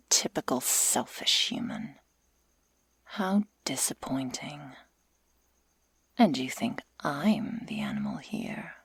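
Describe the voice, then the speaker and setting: A woman's voice: a bass voice, huge pitch swings, no room sound, full character voice. Woman acting a whispering, sadistic werewolf girl. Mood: scornful, menacing. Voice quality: breathy, mostly whispered. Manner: slow, deliberate, mock-sweet taunting. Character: sadistic werewolf girl, menacing, taunting, predatory. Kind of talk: ASMR roleplay. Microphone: close microphone.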